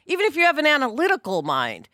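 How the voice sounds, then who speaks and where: A woman talking casually as a podcast host, animated and conversational, often checking that others agree. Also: sits high, fast talker, dry recording, studio quality.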